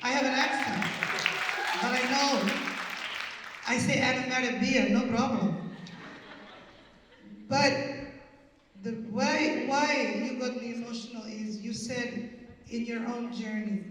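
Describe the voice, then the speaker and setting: A woman's voice: fairly high pitch, fairly even intonation, takes it slowly, pauses often, noisy background. A woman in her fifties, a comedian and storyteller, speaking live on stage. She talks with emotional, joking, broken English phrasing. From Canada. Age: 50s. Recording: echoey hall, far from mic.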